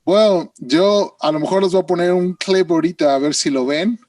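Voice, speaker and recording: A man with a Mexican accent hosting a casual interview, halting and filler-heavy as he talks. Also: high-pitched, darkish tone, dry recording, distant mic. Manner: hesitant, many fillers and restarts. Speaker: interview host.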